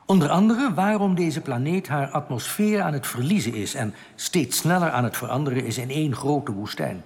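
An actor playing a solemn underground rebel in a radio drama, giving grave warnings in a measured, earnest, formal way. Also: male voice, fairly high pitch, lively intonation, creaky. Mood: grave explaining. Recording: long echoing reverb.

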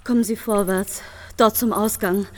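A woman's voice: mid-range voice, steady tone, normal speed, natural voice. A woman playing an anxious, restrained noblewoman in a radio drama. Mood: anxious, urgent. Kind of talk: German radio drama acting.

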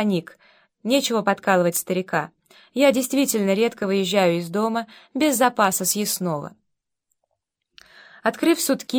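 A woman narrating a novel as an audiobook reader, in a measured, wry storytelling manner. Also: on the high side.

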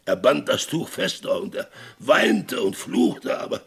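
An actor playing an older, grizzled Western sheriff who recounts his past in a gravelly voice, in radio play style. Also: a little high, big pitch swings, a little slow, fairly bright tone, exaggerated voice. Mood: intense. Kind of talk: vintage German radio play acting.

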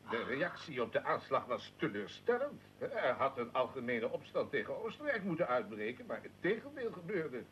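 A highly animated male voice of medium pitch plays a blunt questioner in a historical radio drama. His delivery is pointed, swooping widely in intonation. The recording carries a slight noisy rumble. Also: moderate pace, hardly any pauses. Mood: critical.

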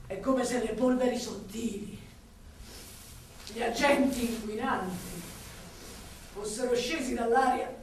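Slow, echoing, wistful mother. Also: a little high. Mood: bitter. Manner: lyrical, deliberate, with lingering pauses and repeated words. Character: grieving city-born mother, wistful, tender, bitter. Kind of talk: theatrical monologue for stage or radio drama. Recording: echoey hall, distant mic, faint noise.

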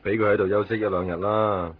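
Husky, matter-of-fact doctor, muffled. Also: male voice, on the low side, little pitch movement, fairly quick. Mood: calm, brisk. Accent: Hong Kong Cantonese. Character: calm, matter-of-fact male hospital doctor. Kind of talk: 1990s radio drama acting. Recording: muffled, phone-like 1990s radio recording.

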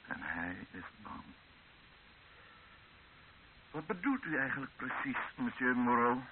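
A man's voice: medium pitch, very animated, slow. An actor playing a proud, embattled male army captain and town commander, formal and authoritative, in a radio drama. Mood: brooding, indignant. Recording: dull narrow-band old radio recording with hiss.

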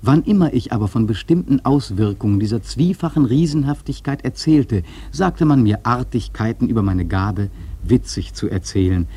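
Casting: reflective sea-novel storyteller for radio drama, mellow and husky, earnest, measured rhetoric. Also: male voice, mid-range voice, animated, dry recording. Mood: earnest, explaining. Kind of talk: literary narration in a radio play.

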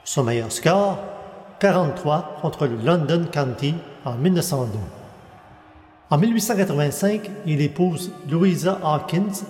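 A man with a somewhat high voice reads a biographical narration at a steady, measured pace. His voice rings through a long, echoing hall, and faint music plays underneath. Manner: steady, measured reading of dates and names.

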